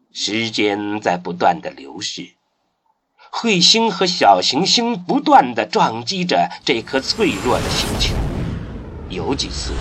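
A man narrating a science-fiction radio drama in a solemn storytelling manner, with dramatic pauses. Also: medium pitch, fairly bright tone, breathy edge.

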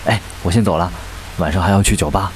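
An actor in a radio drama playing an earnest, lovesick young singer. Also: male voice, low-pitched, fast, close to an everyday voice. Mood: upbeat. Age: young adult. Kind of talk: Chinese radio drama acting. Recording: noisy hiss and vehicle ambience.

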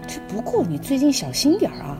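A woman's voice: high voice, huge pitch swings, quick pace, music in the background. A woman acting a mysterious, bargaining fortune-teller in a comic radio play, speaking in terse, cryptic pronouncements. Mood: cryptic, calm.

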